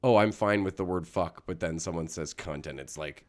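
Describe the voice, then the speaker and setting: A man's voice: deep voice, normal speed. A man hosting a podcast, chatting in an informal, laid-back and agreeable way. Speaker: podcast host. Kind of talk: casual chat.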